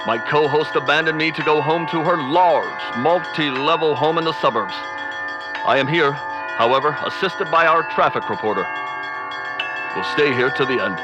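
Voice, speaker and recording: Man acting a high-voiced doomed radio announcer. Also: dull audio, lightly acted. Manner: grave, theatrical. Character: doomed male radio announcer reporting an alien invasion. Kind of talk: dramatic old-time radio news reporting. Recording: loud music and bells behind. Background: tolling bells, loud music.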